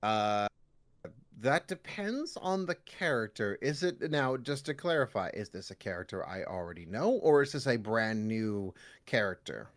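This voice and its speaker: Guy on a podcast talking shop, really lively up and down delivery, casual and a bit self-deprecating. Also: on the low side, measured pace. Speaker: guest voice actor. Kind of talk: casual podcast interview chat.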